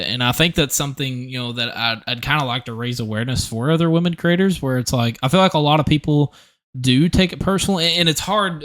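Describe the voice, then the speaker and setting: A man's voice: medium pitch, fairly bright tone. A young man hosting a gaming podcast, talking in an earnest, rambling way with many restarts. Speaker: podcast host. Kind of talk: casual podcast conversation.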